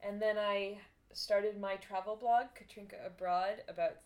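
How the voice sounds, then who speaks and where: An American woman from Boston speaks as a podcast guest. She talks in a relaxed, casual way at a middle pitch and laughs often. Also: a little slow. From Boston, United States. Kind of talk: casual interview chat.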